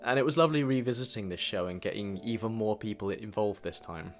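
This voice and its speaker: A man's voice: on the low side, fast talker. A young adult man reading a scripted, personal look back as a voice-over. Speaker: video narrator. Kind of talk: scripted retrospective voice-over narration. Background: music under the voice.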